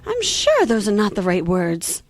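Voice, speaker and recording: A woman's voice: mid-range voice, huge pitch swings, unhurried, music in the background. A woman playing a curious, polite little girl who chatters earnestly and gets flustered. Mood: chattery. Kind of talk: audio drama acting.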